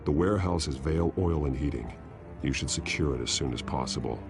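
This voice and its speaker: Brooding vigilante hero for a game, super deep and gravelly, terse, loud music under it. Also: male voice, brisk. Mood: grim, serious. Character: brooding masked vigilante hero, grim, protective, adult man. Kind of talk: dramatic video game acting.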